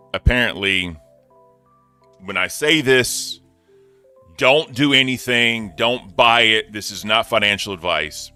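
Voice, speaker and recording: Deep-voiced man in casual chat. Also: bright, forceful tone. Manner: crude, joking, swears freely. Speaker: podcast co-host.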